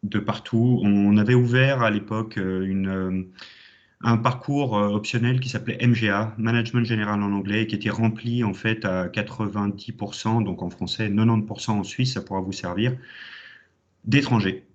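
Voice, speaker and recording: A man's voice: on the low side, steady tone, normal speed. A man in his thirties from the Champagne region of France, giving an enthusiastic alumni talk with many hesitations over a video call. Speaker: alumni guest speaker. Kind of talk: online conference talk. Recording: dull lo-fi online call audio.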